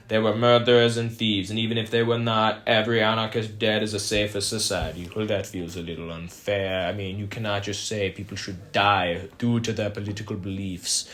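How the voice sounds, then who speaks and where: Flat, formal young male newsreader. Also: lowish voice. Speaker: co-host and newsreader of a mock radio broadcast. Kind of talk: scripted newsreading, amateur mock 1927 radio broadcast.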